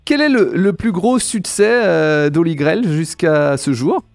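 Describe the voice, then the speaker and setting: A man's voice: a little high, lively intonation, unhurried, gravelly, soft background music. A man interviewing a guest, asking brief questions with short acknowledgements in between.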